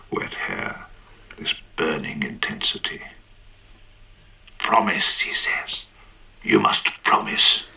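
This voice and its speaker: A man's voice: extremely low pitch, gravelly, bright, forceful tone, heavily acted. An actor playing a boozy, flippant British man telling his story under questioning in a radio drama, wry, clipped and theatrical.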